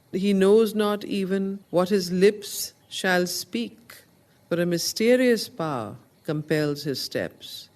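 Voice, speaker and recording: A woman teaching a study circle, explaining in a deliberate, emphatic way and reciting poetry slowly. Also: on the low side.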